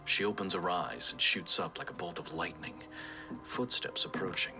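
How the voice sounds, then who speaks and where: A man's voice: low voice, normal speed, music in the background. A man delivering scripted story narration as the narrator of an audio drama.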